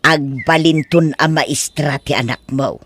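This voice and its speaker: A man's voice: on the high side, measured pace, fairly bright tone. A man with a Filipino accent playing a friendly, encouraging village neighbour in an audio drama. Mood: reassuring. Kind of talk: Ilocano audio drama acting. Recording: faint background noise.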